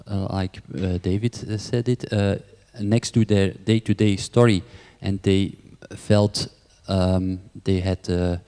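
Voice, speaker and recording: Hesitant low-voiced man in a hall. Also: mellow, smooth. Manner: hesitant, heavy with fillers. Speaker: panelist, project manager. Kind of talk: conference panel answer. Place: large conference hall. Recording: long reverb of a conference hall.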